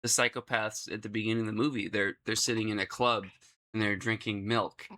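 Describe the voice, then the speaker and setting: A man chatting casually and jokingly as he reacts to a video, excitable, slangy and prone to swearing. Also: lowish voice, a little fast.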